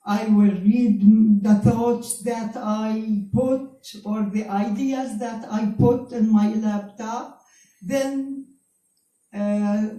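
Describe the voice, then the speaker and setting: A Palestinian woman in her seventies whose first language is Arabic, speaking careful, halting English as a guest speaker to an audience. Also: mid-range voice, mellow. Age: 70s. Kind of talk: public talk to an audience. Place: event space before an audience. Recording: roomy hall, speaker far from mic.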